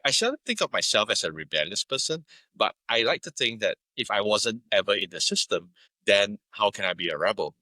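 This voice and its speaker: Very deep-voiced Singaporean man. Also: very animated, fairly quick, sharp tone, no room sound. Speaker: podcast guest, media entrepreneur. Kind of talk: conversational interview.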